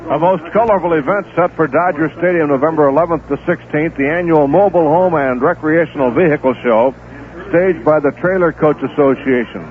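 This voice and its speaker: A man reading a promotion and the score recap in a brisk, polished announcer's manner on a tinny old radio recording. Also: a little high. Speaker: broadcast announcer. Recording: tinny lo-fi 1970s radio broadcast.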